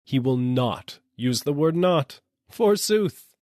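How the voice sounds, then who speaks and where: A man's voice: a little high, animated, takes it slowly, darkish tone, no room sound, studio quality, pitched above the performer's own voice. A man voicing a jeering, mocking metaphysician in a storybook, with theatrical old-fashioned phrasing.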